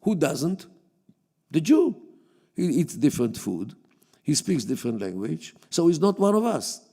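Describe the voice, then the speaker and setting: A man whose first language is Yiddish lectures to an audience in a synagogue hall. He speaks haltingly, with frequent fillers and restarts, in a dark, gravelly voice pitched a little above most men's. A long reverb from the hall trails each phrase. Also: big pitch swings. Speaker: guest lecturer. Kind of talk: public lecture.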